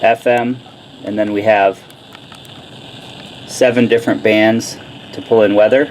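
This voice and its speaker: A man talks through a product demonstration in a casual, plain-spoken way. His voice sits a little on the low side. The recording carries clear background noise as he explains things informally, like a reviewer. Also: little pitch movement, unhurried, low-quality recording.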